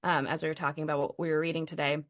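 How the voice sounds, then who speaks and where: A woman's voice: deep voice, little pitch movement, rapid-fire. A woman co-hosting a podcast, talking things through in a thoughtful, casual way with frequent fillers. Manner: thoughtful, thinks aloud with fillers. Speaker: podcast co-host.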